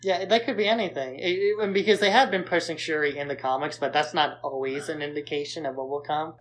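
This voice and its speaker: A man's voice: a little high, fairly even intonation, quick pace, a bit bright, slight background noise, poor audio. A man speaks as a podcast co-host in a casual, conversational way. He offers opinions freely, in informal back-and-forth talk. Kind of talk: casual film discussion podcast.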